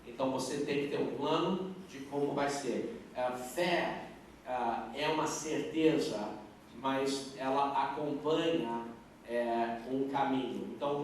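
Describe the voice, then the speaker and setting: A middle-aged man, a pastor, answers questions at a live youth service, earnest and halting, restarting his sentences. His voice sits at an ordinary pitch for a man. It comes from far off in a big echoey church hall, with faint noise behind.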